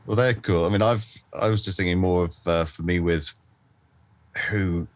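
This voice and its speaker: A man's voice: low voice, fairly even intonation, moderate pace, no echo. A man hosting a casual interview, asking questions in an easygoing, friendly way. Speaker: interview host, mixing engineer.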